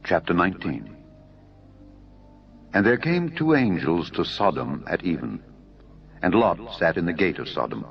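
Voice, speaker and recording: Deep-voiced solemn male scripture narrator. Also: sing-song, measured pace, creaky, long pauses. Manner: formal, solemn. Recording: dull narrow audio over music.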